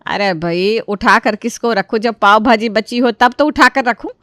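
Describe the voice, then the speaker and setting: A woman's voice: on the high side, moderate pace, a bit bright, no echo, natural voice. A bossy middle-aged Indian mother-in-law from a cartoon story, acted by a woman. She scolds theatrically and sounds exasperated, in a dry studio recording.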